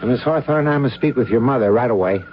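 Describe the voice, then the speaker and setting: An actor playing a stern, brusque, probing police lieutenant in an old-time radio drama. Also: male voice, mid-range voice, quick pace, natural voice. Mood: firm. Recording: muffled, noisy old radio recording.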